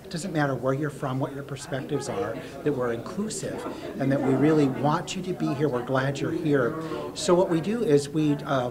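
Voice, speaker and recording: A man explains his community program in an easy, conversational way, pausing now and then with fillers. His voice sits mid-range for a man. A crowd chatters clearly behind him. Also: mid-range voice. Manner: conversational, some hesitations. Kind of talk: interview answer.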